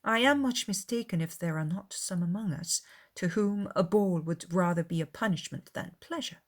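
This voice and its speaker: A woman's voice: lowish voice, normal speed, close to an everyday voice. A woman voicing an affected, haughty young society lady with formal, persuasive phrasing in a dramatized classic novel. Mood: coolly disapproving.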